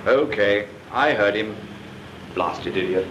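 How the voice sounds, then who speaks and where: A man's voice: lowish voice, slow pace, muffled recording, noisy background. An actor playing a brusque flight instructor officer who scolds his trainees. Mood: exasperated. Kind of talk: 1940s British film acting.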